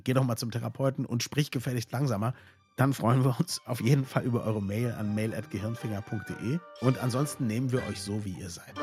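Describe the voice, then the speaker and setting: A man hosting a podcast, chatty and brisk, with ironic asides and a direct sign-off to listeners. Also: mid-range voice. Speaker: podcast host. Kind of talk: casual chat and outro.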